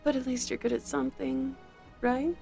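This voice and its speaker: A menacing female supervillain played by an actress in an audio drama, with a fairly high voice. She taunts and talks down to her foe with open contempt. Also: flat delivery, moderate pace, creaky, warm tone, soft background music. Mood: scornful. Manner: taunting, condescending. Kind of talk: scripted audio drama acting.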